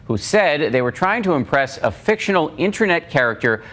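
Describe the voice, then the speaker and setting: A man's voice: on the high side, sing-song, moderate pace, fairly bright tone. A man presenting a broadcast news report as its narrator. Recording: news clip with music underneath. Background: music.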